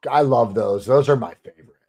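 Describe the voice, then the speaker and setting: A man co-hosting a casual live stream, teasing the viewers in quick banter. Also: mid-range voice, dark tone. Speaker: co-host.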